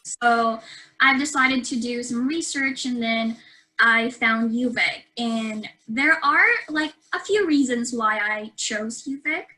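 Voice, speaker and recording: A woman's voice: on the high side, slow, pushed and bright, poor audio. A young woman from the Philippines, a university student, chatting casually about herself with fillers and light laughs. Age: young adult. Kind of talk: casual webinar introduction.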